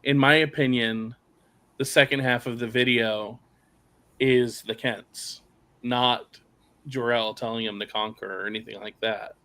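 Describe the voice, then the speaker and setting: A middle-aged man, a guest on a live review, speaking in a measured, reflective way. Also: medium pitch, steady tone, a bit bright. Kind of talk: casual chat.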